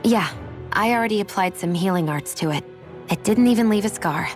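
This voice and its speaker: A woman playing a proud, guarded young healer in a video game, briskly brushing off concern. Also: medium pitch, faint music behind, lightly acted. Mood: reassuring. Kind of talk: video game cutscene voice acting.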